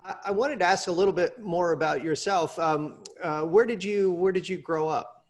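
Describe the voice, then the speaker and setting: A male interview host asks his guest questions in an admiring way. He hesitates often and fills his pauses with "uh" as he shapes each question. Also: high-pitched. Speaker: interview host, executive director. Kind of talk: interview conversation.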